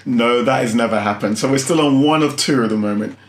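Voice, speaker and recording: A man's voice: medium pitch, fast talker, slight background noise. A man from London hosting a food channel, chatting casually and laughing often as he reacts to a video. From London, England. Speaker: YouTube food channel host. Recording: roomy room sound.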